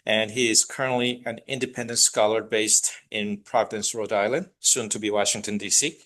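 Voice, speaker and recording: A man's voice: on the low side, fairly even intonation, moderate pace, fairly bright tone. A man hosting a lecture evening, introducing the guest speaker with many hesitations. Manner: hesitant, many fillers, reading out credentials.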